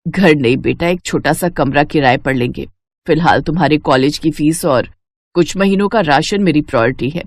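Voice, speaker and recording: A woman's voice: sits low, moderate pace, dry recording, clean studio sound, pitched below the performer's own voice. A woman voicing an anxious, practical middle-aged wife and mother in an audio story, earnest and urgent. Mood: sober, resigned.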